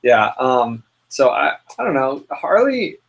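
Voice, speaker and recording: A man's voice: medium pitch, sing-song, slow pace, low-quality recording. A young man co-hosting a casual podcast, reading listener questions and joking around. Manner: giggly, reads listener questions aloud. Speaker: podcast co-host. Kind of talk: casual chat.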